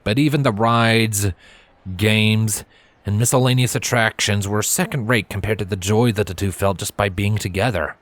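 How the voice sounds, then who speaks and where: A man's voice: on the low side, steady tone, normal speed, fairly bright tone, dry recording. A man reading a scripted story aloud as its narrator. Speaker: fan fiction narrator. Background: background music.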